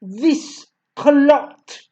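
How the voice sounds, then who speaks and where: A woman voicing a bullying, brawny headmistress who barks and shouts insults, pitched well above her own reading voice. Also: expressive, slow pace, lo-fi sound, exaggerated voice. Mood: furious.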